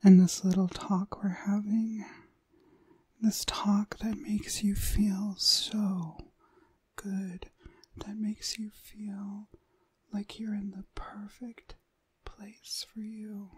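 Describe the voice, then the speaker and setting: A woman playing a seductive, commanding witch in a whispered ASMR hypnosis roleplay, lulling and deliberate. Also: mid-range voice, level tone, a little mellow, heavily acted. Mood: dominant, soothing. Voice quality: breathy whisper.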